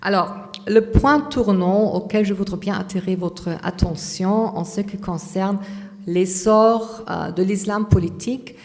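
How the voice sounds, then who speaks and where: A woman's voice: mid-range voice, a little slow, a little mellow. Woman lecturing in an echoing hall. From Austria. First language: German. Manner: hesitant, many fillers and restarts. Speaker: conference speaker.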